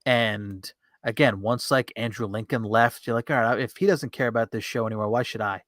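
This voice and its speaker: A man's voice: mid-range voice, brisk, fairly bright tone, no echo. A man with a mid-range voice banters casually as a podcast co-host. He is encouraging and tends to repeat short phrases. The recording is dry, with hardly any room sound.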